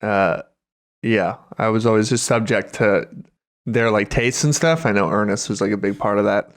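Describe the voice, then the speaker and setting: A man's voice: lowish voice, normal speed. A man in his thirties chatting casually as a podcast co-host, joking crudely and swearing freely. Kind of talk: casual chat.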